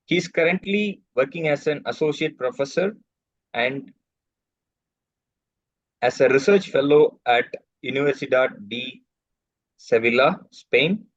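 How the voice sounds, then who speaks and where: A man's voice: medium pitch, lively intonation, dry recording. Man reading an introduction. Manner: reads out credentials in measured bursts. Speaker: host introducing a guest speaker. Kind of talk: formal reading of a speaker introduction. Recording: dull, dry online call audio.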